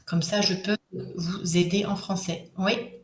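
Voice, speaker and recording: A woman's voice: lowish voice, flat delivery, unhurried, reverberant. A French woman in her thirties teaching a live online workshop, switching between French and English, patient and encouraging with many hesitations.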